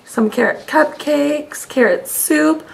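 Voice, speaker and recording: High female voice, thirties, slow deliberate pace, teacher addressing young children, expressive picture-book read-aloud. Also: creaky, a little hiss or hum. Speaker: teacher reading aloud to her class. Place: small home room, indoors.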